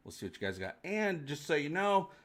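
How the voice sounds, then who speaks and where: A man's voice: on the high side, very animated, brisk, fairly bright tone. A man hosting a fan livestream, chatting casually and enthusiastically with his viewers.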